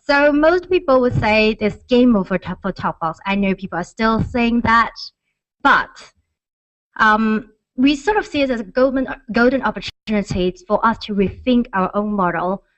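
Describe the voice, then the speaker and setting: Woman pitching, in a dull dry recording. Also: animated, moderate pace, dry recording. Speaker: startup founder presenting at a conference. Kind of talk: conference pitch and question answering. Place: conference stage.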